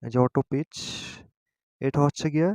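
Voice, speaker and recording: A man hosting a casual step-by-step tutorial, often pausing to check that listeners follow. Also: medium pitch, mellow, no room sound. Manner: repeatedly adds a checking tag question. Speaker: tutorial host. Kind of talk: casual screen-guided app tutorial.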